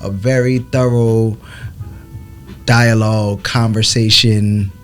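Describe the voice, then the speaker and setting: A man co-hosting a podcast in casual chat, speaking in slow, affirming, drawn-out phrases. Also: lowish voice, steady tone, soft background music. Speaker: podcast co-host.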